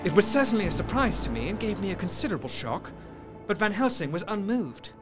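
A man's voice: high-pitched, fast, over music. A man playing a proper, troubled Victorian doctor, narrating his own horror story formally and with feeling in an audio drama. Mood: shaken. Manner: formal, expressive. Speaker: narrator in character.

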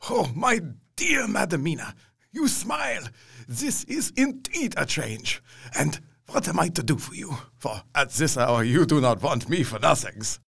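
An actor playing an elderly, earnest, fatherly professor in an audio drama, with a gravelly, husky voice. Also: on the high side, very animated, a little slow, fairly bright tone, no echo, studio quality, full character voice. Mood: tender. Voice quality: gravelly, husky, foreign-tinged phrasing.

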